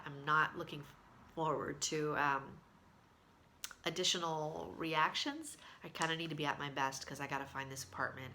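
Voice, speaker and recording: A woman's voice: low-pitched, bright, forceful tone, a little hiss or hum. A woman who sounds congested, giving a casual video diary update with lots of fillers and restarts. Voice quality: congested, nasal. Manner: chatty, with many fillers and restarts. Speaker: vlogger sharing a health diary.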